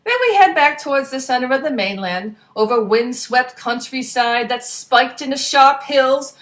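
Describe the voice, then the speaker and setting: A woman narrating as a brash young male pigeon adventurer, slangy and energetic, in a storybook reading. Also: sits high, normal speed, pitched above the performer's own voice. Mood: cheerful.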